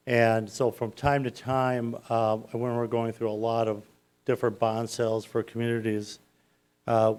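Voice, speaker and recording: A loud-voiced man with a somewhat low pitch briefs a city council as its finance advisor. He talks in a plain, explanatory way, taking each point in turn as he presents to the chamber. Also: smooth. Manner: walks through points step by step.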